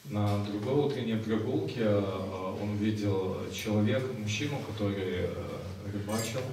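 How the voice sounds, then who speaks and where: A man's voice: lowish voice, a little slow, darkish tone, recorded from a distance, slight background noise. A young man working as an interpreter, speaking after each phrase with hesitant fillers, in a large festival tent. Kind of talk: consecutive interpreting. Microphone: stand mic. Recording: echoey hall, heard from afar.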